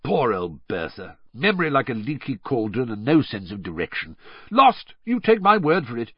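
Male reader as boisterous storybook wizard official, middle-aged but boyish, booming, husky, wildly lively pitch, carefree. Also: normal speed, dry recording, highs cut off, exaggerated voice. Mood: comfortable, breezy. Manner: booming, eager, cheerfully careless.

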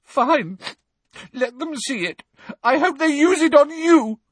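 A reader voicing a frightened teenage schoolgirl who stammers through her tears in a shrill, high voice. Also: animated, unhurried, sharp tone, husky, no echo, full character voice. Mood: tearful defiance. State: crying. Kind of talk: audiobook acting.

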